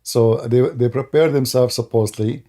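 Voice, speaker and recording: A man's voice: mid-range voice, normal speed, mellow. A man hosting a live call-in stream, talking emphatically in non-native grammar and laughing as he mocks opponents. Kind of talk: casual live call-in chat.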